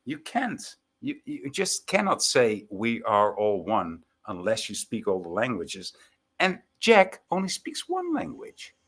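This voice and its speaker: A man's voice: fairly low pitch, wildly swooping pitch, a little slow, fairly bright tone. A man whose first language is Dutch, arguing insistently in an informal live debate. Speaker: debate panelist.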